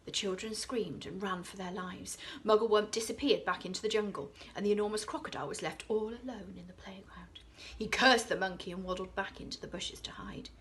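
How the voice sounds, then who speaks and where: An English woman, an infant school teacher, reading a children's story aloud, dropping into hushed whispers for suspense. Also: medium pitch, fairly even intonation, a little fast, slight background noise.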